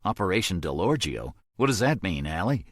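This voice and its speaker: A man's voice: low-pitched, big pitch swings, brisk, a bit bright, no room sound, clean studio sound, exaggerated voice. A man voicing a tense, shaken man who speaks urgently and emotionally.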